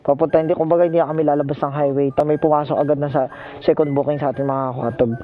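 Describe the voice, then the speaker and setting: A high-pitched Filipino man talks casually into a helmet mic out on the road. He is polite and jokey, narrating his motorcycle taxi rides, with music playing clearly behind him. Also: little pitch movement, rapid-fire, narrow, tinny audio. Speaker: motorcycle taxi rider and vlogger. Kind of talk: casual ride vlog chat. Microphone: helmet intercom microphone.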